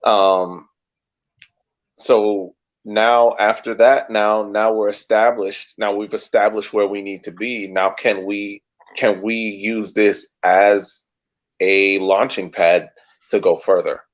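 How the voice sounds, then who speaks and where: Low-voiced man, dull lo-fi recording. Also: fairly even intonation, slow. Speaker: podcast co-host. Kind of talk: casual sports chat. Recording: dull lo-fi sound.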